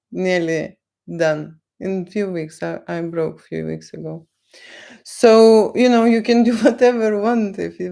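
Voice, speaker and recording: A woman with a Lithuanian accent, not a native English speaker, answering viewers' questions on a livestream in a slow, lecturing way and tagging "okay?" onto her points. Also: fairly low pitch, gravelly, a little mellow. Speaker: photographer on a livestream. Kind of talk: live Q&A chat with viewers.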